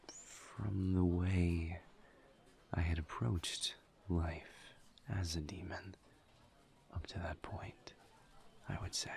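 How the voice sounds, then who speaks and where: A man playing a gentle, guarded incubus in an intimate audio roleplay, murmuring breathily and haltingly with careful pauses. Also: fairly even intonation, full character voice. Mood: hesitant, thoughtful. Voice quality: breathy, creaky, low murmur. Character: gentle, guarded male incubus demon, earnest and reserved. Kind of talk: intimate roleplay audio drama, partly whispered.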